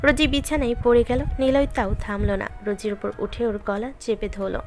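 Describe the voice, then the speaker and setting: A woman's voice: on the high side, steady tone, moderate pace. A woman giving scripted narration of a romantic story. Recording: music behind the voice.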